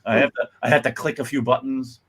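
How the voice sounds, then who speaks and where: A man's voice: medium pitch, fairly even intonation, quick pace, noisy background. A man giving a casual, unscripted introduction as presenter of a comics reading, in a hesitant, rambling way.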